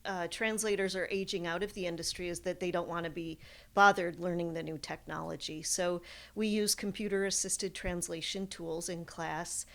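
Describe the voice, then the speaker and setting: A woman explaining her field in an interview, in the manner of a college professor, with animated examples. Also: lowish voice, normal speed, gravelly.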